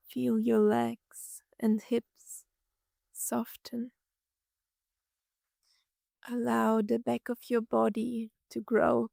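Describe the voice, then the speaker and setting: A woman narrating a scripted guided meditation, gently soothing, speaking in short phrases with long pauses. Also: on the high side, creaky, no room sound, studio quality.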